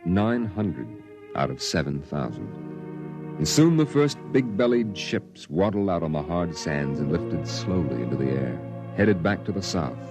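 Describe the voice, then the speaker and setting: Grave narrator, deep voice, measured, somber, 1950s radio drama, prominent music behind. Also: male voice. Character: somber man recalling a Martian exodus.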